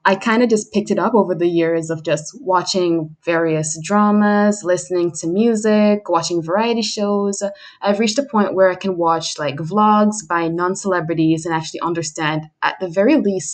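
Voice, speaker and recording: Young woman, slightly low pitch, casual livestream monologue, self-deprecating, chatty. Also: normal speed. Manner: self-deprecating, chuckles while talking. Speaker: livestream host introducing their channel.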